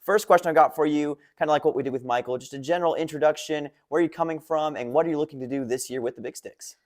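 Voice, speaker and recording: Quick-talking upbeat male sports host. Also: on the high side. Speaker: interview host and radio play-by-play man. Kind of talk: sports interview hosting.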